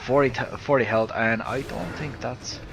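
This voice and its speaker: A man with an Irish accent and a soft, slightly lispy voice, giving live video game commentary into a close headset mic. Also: mid-range voice, fairly even intonation, moderate pace, over music. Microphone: headset close to the mouth.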